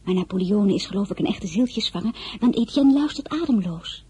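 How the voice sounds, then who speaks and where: A woman's voice: mid-range voice, animated, brisk, dark tone, husky. An actress narrating as a young woman telling her own story in a confiding, wry way. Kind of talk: radio drama narration.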